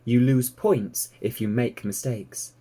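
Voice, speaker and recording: A man voicing a kindly, knowing mother sheepdog who explains things gently in a storybook reading. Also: mid-range voice, fairly even intonation, takes it slowly.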